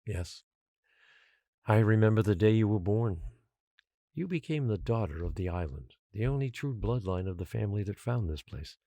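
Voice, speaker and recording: An actor playing a patient, controlling guardian who secretly rules an island, speaking in a measured, quietly menacing way in an audio drama. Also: male voice, fairly low pitch, lively intonation, no echo, studio quality. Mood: solemn, revealing.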